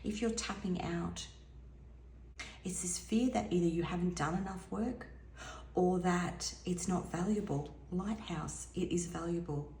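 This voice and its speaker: A woman giving an intuitive tarot reading in a musing, soft-spoken way, her voice a little hoarse and now and then dropping to a near whisper. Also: lowish voice, a little slow, echoey room, low-quality recording. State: slightly hoarse, losing her voice. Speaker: tarot reader.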